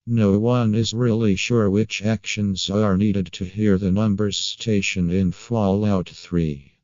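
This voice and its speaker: A man reading a scripted creepypasta narration in a measured, matter-of-fact way. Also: on the low side, a little mellow, no echo, lo-fi sound.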